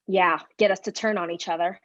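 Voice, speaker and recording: A woman's voice: fairly low pitch, steady tone, fairly quick, close to an everyday voice. Woman playing a muffled, resigned schoolgirl. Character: resigned schoolgirl accomplice, terse and agreeable. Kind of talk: staged play reading. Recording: dull, muffled stage recording.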